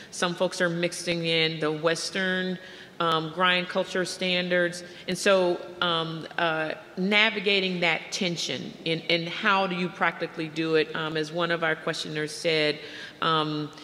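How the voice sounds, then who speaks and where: Bright-voiced woman in a reverberant hall. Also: on the low side. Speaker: audience question moderator. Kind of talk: relaying questions, unscripted. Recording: reverberant hall, faint noise.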